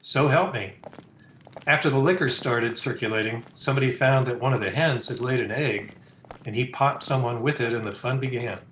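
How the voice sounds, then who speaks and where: In a roomy, echoing space with some background noise, a man plays a sardonic young fiancé from an old radio drama. He gripes and wisecracks in slangy talk at a middling pitch. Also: normal speed, a bit bright, close to an everyday voice. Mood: sardonic griping. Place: indoor room, recorded over a video call. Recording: roomy echo, noisy home video-call recording.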